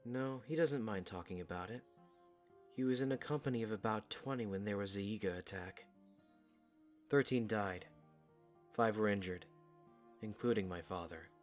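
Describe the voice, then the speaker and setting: A man's voice: lowish voice, moderate pace, long pauses, faint music behind, lightly acted. A man playing an earnest, protective young warrior in an audio drama, plain-spoken and given to short sentences. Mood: matter-of-fact.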